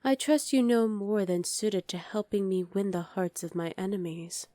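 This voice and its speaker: A woman's voice: mid-range voice, fairly even intonation, normal speed, warm tone, no echo, close to an everyday voice. A woman playing a dominant evil sorceress queen in an ASMR roleplay, commanding and condescending with cool menace. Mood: scheming. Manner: commanding, condescending, coolly threatening.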